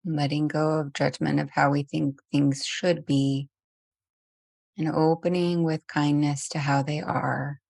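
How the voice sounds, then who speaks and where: Low, gently creaky woman guiding meditation. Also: level tone, no echo. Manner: gentle, unhurried with long pauses. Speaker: meditation teacher. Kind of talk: guided meditation.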